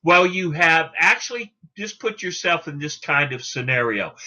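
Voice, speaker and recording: A man's voice: on the high side, pushed and bright. A man giving an instructional talk as a sales coach, emphatic and salesman-like, putting rhetorical questions to the listener. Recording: dull, lo-fi sound.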